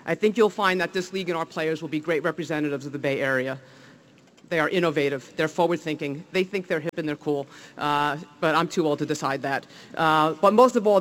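A man's voice: a little high, a bit bright. A man with a New Jersey accent, a league executive giving an enthusiastic public speech at a welcome event, with many fillers and restarts.

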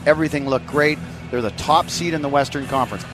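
A man giving radio sports commentary in an energetic, conversational way. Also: a little high, fast talker. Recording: music bed under voice.

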